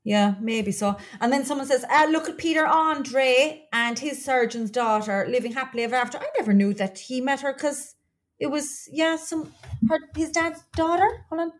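A woman's voice: high voice, moderate pace. An Irish woman with a Cork accent co-hosting a podcast in a casual, gossipy, confiding way. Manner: chatty, colloquial, confiding. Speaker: podcast co-host.

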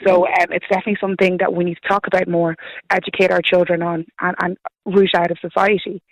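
This is an earnest woman in her thirties who grew up in the Netherlands, calling in to a radio show. Her voice has a gravelly edge, and it's recorded very dry and close. She talks haltingly, restarting her sentences. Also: lowish voice, level tone, no echo, rough recording. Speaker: caller. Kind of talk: radio phone-in discussion.

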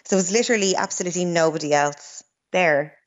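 A low-voiced woman in her thirties recounts her experience with many pauses and ums. The sound is slightly dull and muffled, as if it had lost its top end. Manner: hesitant, lots of ums, storytelling. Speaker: new mother, guest. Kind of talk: radio interview.

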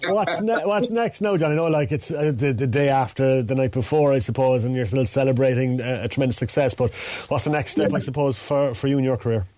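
Cork sports presenter, middle pitch, dry close mic. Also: male voice, a little fast, hardly any pauses, mellow, dry recording. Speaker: radio sports host. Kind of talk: radio sports interview.

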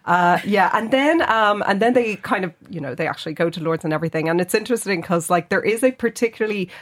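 A woman's voice: mid-range voice, fast talker. A woman who is a film critic, giving a dry and sardonic review on a radio show with frequent laughter.

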